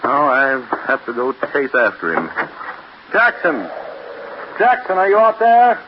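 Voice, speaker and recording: An actor playing an irritable, selfish middle-aged scientist husband, snapping and dismissive, in a 1930s radio horror play. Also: male voice, medium pitch, huge pitch swings, measured pace, muffled recording. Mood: calling out. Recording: hissy, band-limited old radio recording.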